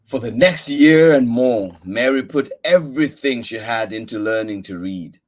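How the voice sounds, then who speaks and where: A man reading a children's picture book aloud as a story-time host, with expressive, deliberate emphasis on key words. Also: mid-range voice.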